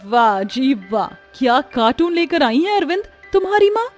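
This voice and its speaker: A woman's voice: sits high, sing-song, normal speed, soft background music. A woman voicing a haughty young modern city daughter-in-law in a Hindi cartoon, scornful and dropping English words. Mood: mocking. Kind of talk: Hindi cartoon story dialogue.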